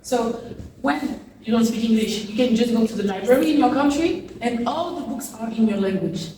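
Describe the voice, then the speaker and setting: A woman's voice: on the high side, animated, moderate pace. A female student debater making a persuasive argument with rhetorical questions, recorded from a distance in a large hall. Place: large echoey school hall. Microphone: far room microphone. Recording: echoey distant audio with background noise.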